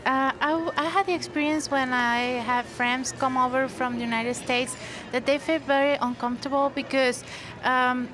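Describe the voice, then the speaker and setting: A woman from Mexico with a high voice speaks carefully, searching for words as she describes her friends' experiences. A crowd murmurs in the background. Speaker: panel guest.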